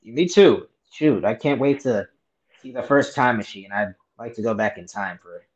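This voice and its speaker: A man's voice: mid-range voice, lively intonation, normal speed, slightly rough. A man hosting a podcast, talking in a laid-back, slangy way. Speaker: podcast host. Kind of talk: casual chat. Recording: dull lo-fi mic.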